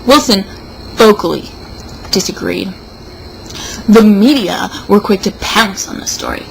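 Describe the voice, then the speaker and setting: Casting: woman, gravelly fry in a mid-pitched voice, tipsy mock-serious read-aloud with drawn-out emphasis. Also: lively intonation, slight background noise, low-quality recording. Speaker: show host reading an opinion article aloud. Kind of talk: theatrical read-aloud with mocking asides.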